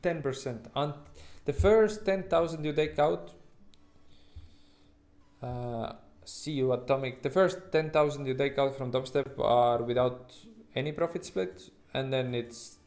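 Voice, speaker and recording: Halting Estonian-accented man, livestream host. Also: medium pitch, a little mellow. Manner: halting, rambling, informal, occasional swearing. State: sleep-deprived. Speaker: livestream host, futures trader. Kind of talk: casual livestream chat with viewers.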